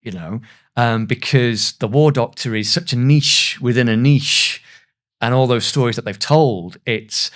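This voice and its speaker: A man's voice: medium pitch, a little slow, fairly bright tone. A man in his thirties, a voice actor, telling anecdotes in a casual, self-deprecating way as a podcast guest. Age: 30s.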